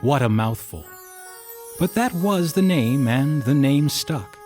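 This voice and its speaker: A wry, musing narrator tells the story in dramatised style. His medium-pitched voice sits over clear music. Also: expressive, slow, a little mellow. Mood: wry, reflective. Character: wry storytelling male narrator recalling events.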